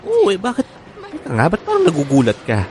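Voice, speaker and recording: An actor playing a homesick, evasive seafarer husband in naturalistic radio drama, halting and repeating himself. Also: male voice, high-pitched, wildly swooping pitch, darkish tone, a little hiss or hum. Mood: surprised, friendly. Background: music bed.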